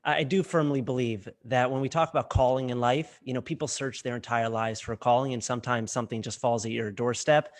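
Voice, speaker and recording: A man's voice: mid-range voice, steady tone, fairly quick, few pauses. A man in his thirties, a rabbi co-hosting a podcast, speaking sincerely and reflectively with hesitant fillers. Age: 30s. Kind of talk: unscripted podcast discussion.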